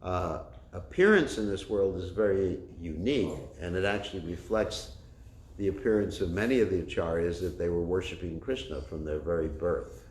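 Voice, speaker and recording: A man with a loud, somewhat low voice gives a religious class, wandering through a story with frequent fillers and false starts. There is no microphone on him, so the sound comes off the walls of an echoey room. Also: normal speed, slight background noise. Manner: rambling, many fillers and restarts. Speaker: spiritual teacher. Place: class room with a kitchen nearby. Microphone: no microphone, room recording.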